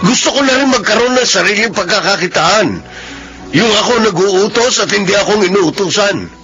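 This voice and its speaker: A defiant Filipino husband, highish voice. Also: male voice, moderate pace, sharp tone. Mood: defensive, frustrated. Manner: insistent, defensive. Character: defiant middle-aged gambler husband and father. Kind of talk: Filipino radio drama acting. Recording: dull lo-fi radio sound, music behind.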